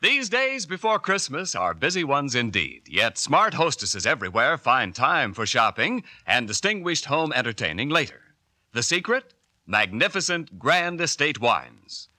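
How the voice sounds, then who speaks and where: A man's voice: very animated, measured pace, bright, forceful tone, dry recording. Polished old-time radio ad man. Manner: polished salesman pitch. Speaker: commercial announcer. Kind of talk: 1940s radio ad read. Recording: dry old radio broadcast.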